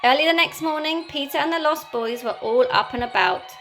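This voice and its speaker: A woman teacher reading a children's storybook aloud, with expressive, dramatic storytelling. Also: high voice, brisk, soft background music.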